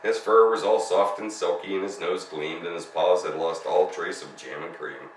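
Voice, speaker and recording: A man's voice: low voice, normal speed. A man narrating a children's story as a storyteller, reading expressively. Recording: roomy, distant mic, faint noise.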